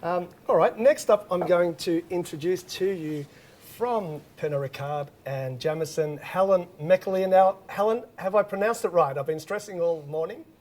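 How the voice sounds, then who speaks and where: A man's voice: high voice, normal speed, a little mellow. A man hosting a live online whisky masterclass, upbeat and laughing often. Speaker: host.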